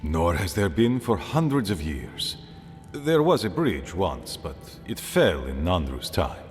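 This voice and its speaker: A very low-voiced, solemn council elder from a fantasy game. He speaks formally and deliberately, with expressive swings of pitch, in a long hall reverb. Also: soft background music. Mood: curious. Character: grave, measured male council elder, thoughtful and regretful. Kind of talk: dramatic fantasy game acting.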